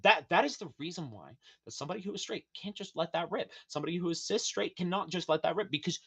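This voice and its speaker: High-voiced guy on a podcast, kinda husky, going off with big pitch jumps. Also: expressive, fast talker, fairly bright tone. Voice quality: high-pitched, a little feminine. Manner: emphatic, profane, preachy rant with sudden high-pitched outbursts. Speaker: podcast guest. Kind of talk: casual podcast rant.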